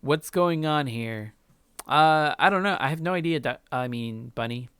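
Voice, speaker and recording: A man's voice: on the high side, normal speed, a bit bright, clear, resonant tone, no room sound. A man streaming a game, chatting casually with live let's-play commentary. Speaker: gaming streamer, host.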